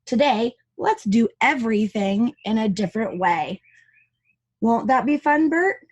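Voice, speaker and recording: A woman voicing an eager, playful male friend in a children's storybook, coaxing and excitable. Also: fairly high pitch, a little slow, muffled recording.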